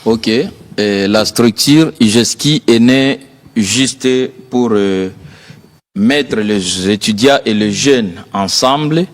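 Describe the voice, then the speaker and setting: A man's voice: fairly low pitch, slow pace, big-room sound. A young man from South Kivu, earnestly explaining his student association as a guest. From South Kivu, DR Congo. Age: young adult. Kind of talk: guest interview.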